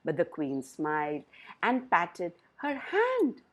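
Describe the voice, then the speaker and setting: A woman narrates a children's fairytale at an unhurried pace, with a very lively rise and fall in pitch. Her voice has a slightly husky grain, and she tells it expressively. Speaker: storyteller.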